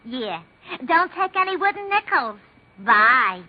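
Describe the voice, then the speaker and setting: High, gossipy switchboard operator. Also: sing-song, takes it slowly, very noisy, heavily acted. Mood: chatty, wisecracking. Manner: gossipy, slangy. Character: chatty, wisecracking woman switchboard operator. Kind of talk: 1950s radio comedy monologue. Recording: dull 1950s radio recording.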